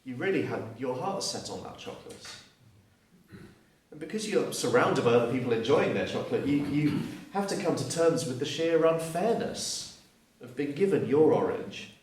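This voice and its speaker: A man's voice: mid-range voice, expressive, moderate pace, a little mellow, heavy reverb, recorded from a distance. A man in his thirties from Surrey with a Southern English accent, giving an earnest, reflective personal testimony and often restarting his sentences. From Surrey, southeast England. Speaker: guest speaker.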